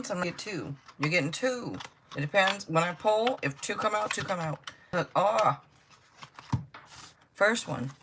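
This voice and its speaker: A woman's voice: lowish voice, fairly bright tone, a little hiss or hum. An older woman doing a casual livestream tarot reading, chatty and blunt, interrupting herself and swearing freely. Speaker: tarot reader.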